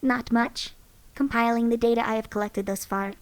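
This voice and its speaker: A woman voicing an artificial female companion in a game who is calm, matter-of-fact and faintly superior. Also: fairly high pitch, normal speed, close to an everyday voice.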